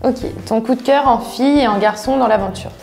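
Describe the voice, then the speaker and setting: A woman's voice: fairly high pitch, a little fast, gravelly, over music. A woman asking casual questions as an interviewer. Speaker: off-screen interviewer.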